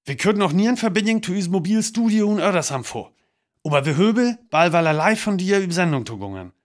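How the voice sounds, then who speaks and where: A man's voice: high-pitched, sing-song, a little fast. A man acting a radio newsreader in a drama, announcing a grave disaster formally and urgently with a North Frisian accent. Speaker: radio news announcer in a radio play. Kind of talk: scripted news bulletin in a radio play.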